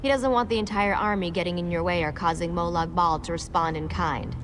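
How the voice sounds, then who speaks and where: A woman's voice: fairly low pitch, fairly even intonation, brisk, a bit bright, slight background noise, natural voice. A woman voicing a wary, businesslike mage officer briefing an ally in a video game. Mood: sober concern.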